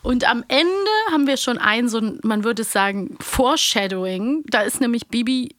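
A woman's voice: fairly high pitch, moderate pace, a bit bright, no room sound, clean studio sound. A woman hosting an audio drama magazine, chatting casually. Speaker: radio play magazine host. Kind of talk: casual chat, acting out quotes.

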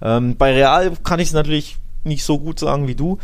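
A man's voice: fairly high pitch, moderate pace, clear, resonant tone. A man co-hosting a football podcast in casual, colloquial talk, making his points emphatically and with firm opinions. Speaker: podcast co-host.

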